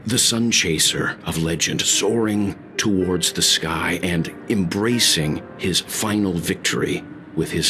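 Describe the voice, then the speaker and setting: A man playing an earnest, philosophical traveler telling a story in a video game, deliberate and solemn with weighty pauses. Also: low-pitched, noisy background. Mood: solemn, resolute.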